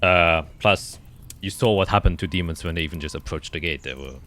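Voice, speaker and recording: A man improvising narration as game master of a tabletop fantasy game, often pausing to search for his words. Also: deep voice, bright, forceful tone, a little hiss or hum.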